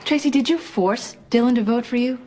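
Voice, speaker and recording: A woman's voice: fairly high pitch, fairly quick, darkish tone, close to an everyday voice. An actress playing a shrewd, pointed middle-aged woman from a wealthy soap opera family. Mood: accusing.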